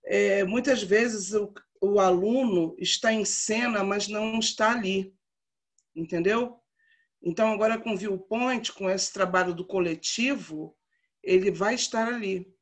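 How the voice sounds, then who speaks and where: A woman's voice: mid-range voice, a little slow. Emphatic woman drama teacher in her fifties. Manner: emphatic, repeats points. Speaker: drama teacher for young people. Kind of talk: class discussion.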